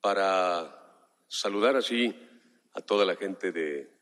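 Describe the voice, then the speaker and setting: A man's voice: low voice, a bit bright. A man in his fifties from southern Mexico, a municipal commissioner giving a formal speech at a press conference. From Juchitán, Oaxaca, Mexico. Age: 50s. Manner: slow, measured, formal address with long pauses. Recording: hall reverb, relayed press conference.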